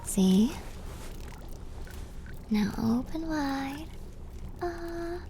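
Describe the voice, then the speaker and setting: A woman playing a clumsy, affectionate and slightly mad plague doctor girlfriend in a theatrical, breathy ASMR roleplay. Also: high voice, expressive, extremely slow, dark tone, a little hiss or hum, heavily acted. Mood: playful, bubbly. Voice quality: breathy, often whispered. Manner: theatrical, affectionate, quaint turns of phrase. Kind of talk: ASMR roleplay, scripted character acting.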